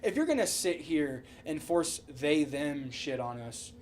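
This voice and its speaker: Higher-pitched man, animated podcast chatter. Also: measured pace, a little hiss or hum. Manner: animated, rambling, heavily slangy and profane. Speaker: podcast co-host. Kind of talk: casual pop-culture podcast chat.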